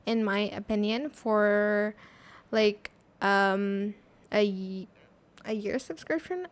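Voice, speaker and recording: A woman with a fairly high voice hosts a casual software tutorial. She talks informally, walking through steps and thinking aloud with some hesitation. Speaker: tutorial host.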